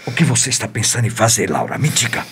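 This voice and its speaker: Low, concerned male confidant. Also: sing-song, fast talker, fairly bright tone, breathy edge, full character voice. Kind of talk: radio soap opera acting.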